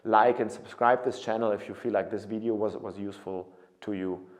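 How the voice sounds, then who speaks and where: A man's voice: fairly low pitch, fairly even intonation, brisk. A man in his thirties with a German accent, talking to camera as a study abroad consultant, earnest and prone to restarts. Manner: earnest, with frequent restarts and repeated words.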